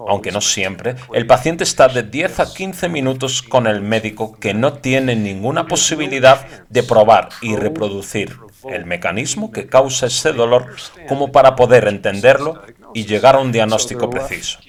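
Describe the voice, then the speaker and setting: Man delivering a translated lecture voice-over. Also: mid-range voice.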